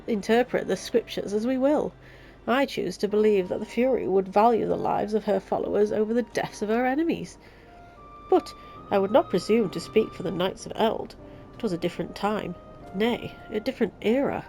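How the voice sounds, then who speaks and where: A woman's voice: medium pitch, darkish tone, natural voice. A woman with a Yorkshire accent and a nasal, blocked-nose voice, reading the lines of a devout male knight commander from a fantasy game.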